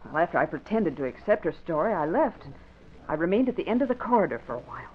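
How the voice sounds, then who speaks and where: A woman's voice: on the low side, very animated, quick pace. A woman playing an earnest, observant young newspaper employee in an old-time radio drama, speaking with insistence. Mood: insistent. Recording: noisy, dull vintage radio recording.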